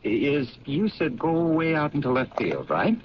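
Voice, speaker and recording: An eager police lab sergeant, stumbling over his words as he rushes through a theory. His pitch swings wildly up and down. The sound is dull lo-fi old radio with a faint hiss. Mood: explaining. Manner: animated, halting, explaining with enthusiasm. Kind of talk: 1970s radio drama acting.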